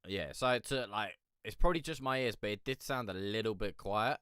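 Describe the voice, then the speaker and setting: A man's voice: on the low side, normal speed, clear, resonant tone, no room sound, studio quality. A man hosting a music review stream, talking casually and rambling with congestion from a cold. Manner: rambling, excitable, slangy, trailing off. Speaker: music review show host. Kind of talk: casual live-stream commentary.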